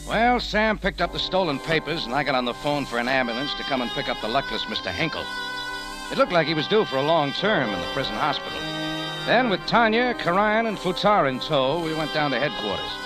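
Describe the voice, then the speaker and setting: An actor playing a weary, hard-boiled American private investigator in an old radio drama. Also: male voice, fairly high pitch, sing-song, a little fast, rough recording. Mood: brisk narration. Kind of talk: 1940s-50s radio detective acting. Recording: old radio recording with music.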